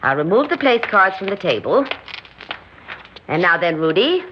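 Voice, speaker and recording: A woman's voice: medium pitch, very animated, moderate pace, noisy background, close to an everyday voice. Woman acting grandiose scheming society hostess, swooping delivery. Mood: coolly commanding. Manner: theatrical, imperious. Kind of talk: old radio drama acting. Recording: muffled old radio recording.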